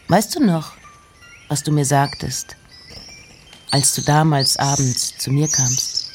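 A woman giving a literary reading of poems and letters with measured, deliberate phrasing. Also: low voice, a little hiss or hum.

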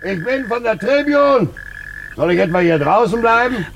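An actor playing a brash, impatient newspaper reporter calling out in a radio crime drama. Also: male voice, high-pitched, lively intonation, normal speed, gravelly, a bit bright, full character voice. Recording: hissy old radio play recording.

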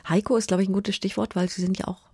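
A woman presents a radio interview in a conversational, articulate way. Her mid-pitched voice has noticeable vocal fry, and the studio sound is dry and close. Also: steady tone, quick pace, warm tone, dry recording.

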